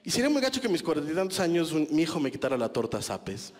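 A man in his thirties with a Mexican accent works a small club crowd through a handheld mic. His delivery is chatty and self-mocking, dotted with crude slang, and his pitch sits a little high and swoops around a lot. A long reverb trails each line. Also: sing-song, very fast, darkish tone. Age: 30s. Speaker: stand-up comedian. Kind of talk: stand-up comedy set. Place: small club stage with a live audience.

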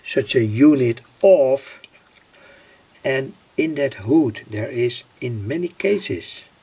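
A man explaining a homemade electronic circuit informally, in a halting, deliberate way with pauses. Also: mid-range voice, expressive, mellow, breathy edge. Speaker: electronics hobbyist presenter.

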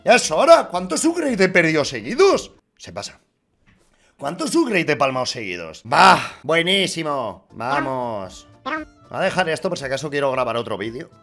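Excitable higher-pitched Spanish man, lively streamer. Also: normal speed, soft background music. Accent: Castilian Spanish. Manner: foul-mouthed, excitable. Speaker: YouTuber, gaming streamer. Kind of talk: casual gaming commentary to viewers. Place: small room with acoustic foam panels. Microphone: boom-arm desk mic close up.

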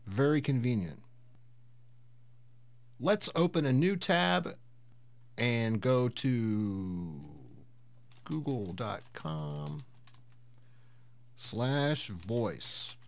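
A man hosting a casual software tutorial, explaining things step by step in an unhurried way. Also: mid-range voice, animated, gravelly. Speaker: host of a tech tutorial series.

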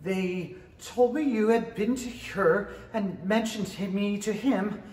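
A man's voice: high-pitched, slow pace, darkish tone. A middle-aged man playing a nervous, deferential rabbit herald in a 1930s-style radio play, hesitant and stammering. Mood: formal recitation. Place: indoor stage in a small theatre. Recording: roomy, echoing stage pickup.

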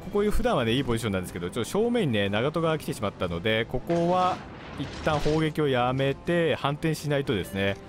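A man's voice: medium pitch, big pitch swings, quick pace. A man commentating on his gameplay as a video host, explaining things in a polite manner. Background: game music, gunfire and artillery.

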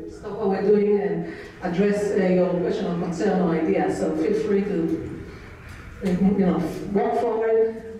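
A woman's voice: medium pitch, mellow. A woman with an Israeli accent moderating a panel, informally introducing it to an audience in a conference room. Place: large indoor conference room. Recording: very echoey room, distant mic, faint noise.

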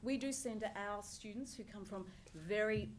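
A woman's voice: medium pitch, unhurried, slight background noise. Woman speaking at a conference lectern. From Greece. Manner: earnest, storytelling, with wry asides and gestures. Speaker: journalist and lecturer giving a conference talk. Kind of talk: personal conference speech. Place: large conference hall, at a lectern. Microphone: headset mic.

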